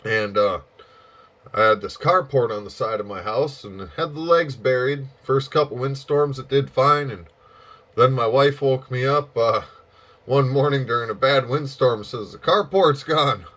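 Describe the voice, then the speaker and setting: A man with an average-pitched voice chats casually as a ham radio operator. He talks haltingly and in a folksy storytelling way. The recording is somewhat dull and muffled. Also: fairly bright tone. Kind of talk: casual radio contact chat.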